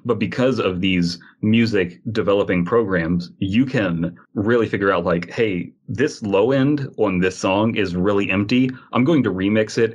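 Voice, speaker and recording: A man co-hosting a podcast, talking casually in a rambling, emphatic and opinionated way. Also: on the low side. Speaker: podcast co-host.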